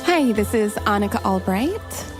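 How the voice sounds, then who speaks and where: A woman speaks slowly and softly as an interview guest, and she sounds amused. Her chat is casual, with light laughs between her remarks. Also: medium pitch, expressive, music in the background.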